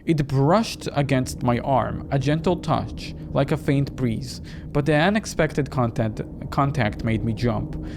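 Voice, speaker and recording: A narrator reading a first-person horror story dramatically, in a measured, suspenseful way. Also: medium pitch, noisy background. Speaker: game narrator and reader.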